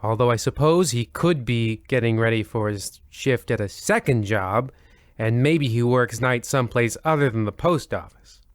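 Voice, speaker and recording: A man's voice: mid-range voice, normal speed, no echo, natural voice. A man playing the host of an old-time radio mystery show, narrating in a formal, wordy, mock-solemn way. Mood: mock-ominous.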